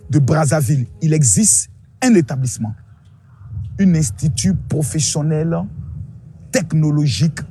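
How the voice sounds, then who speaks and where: A man's voice: on the high side, mellow. A man, giving declamatory commentary as he promotes new buildings to his viewers. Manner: declamatory, addressing the audience formally. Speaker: video commentator.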